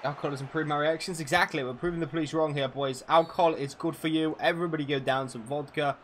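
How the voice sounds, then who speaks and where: A man's voice: fairly high pitch, fairly even intonation, fast, fairly bright tone, a little hiss or hum. A man in his twenties casually chatting and swearing freely while streaming a football video game, answering his viewers' questions. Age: 20s. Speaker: gaming streamer.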